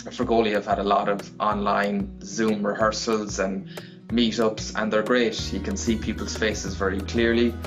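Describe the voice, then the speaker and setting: A man giving a personal reflection addressed to listeners. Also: lowish voice. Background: plucked guitar music.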